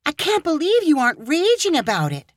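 A high-voiced young woman, outspoken, caring and a little bossy, as a woman reader puts her on. Her voice swoops with emphasis and stays bright. The room is dry and close. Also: big pitch swings, normal speed, no echo. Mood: alarmed.

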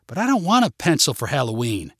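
A man's voice: medium pitch, wildly swooping pitch, quick pace, a bit bright, breathy edge, no echo, clean studio sound, full character voice. A man voicing a blunt, grudging preteen girl in a storybook. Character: preteen girls in witch costume, blunt, grudging.

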